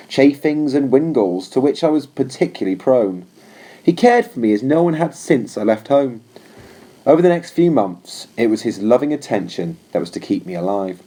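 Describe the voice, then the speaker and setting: Mellow, expressive male story narrator. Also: medium pitch. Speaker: audiobook reader.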